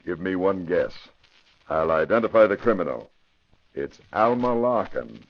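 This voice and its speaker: Husky, brisk police commissioner. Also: male voice, low-pitched, expressive. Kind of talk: old-time radio drama acting. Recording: muffled old radio recording.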